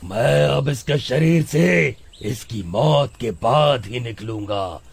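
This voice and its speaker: A man playing a gloating, menacing demon in an animated horror-comedy story, with a gravelly, husky voice. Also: medium pitch, slow pace, slight background noise. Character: menacing male demon possessing a man's body.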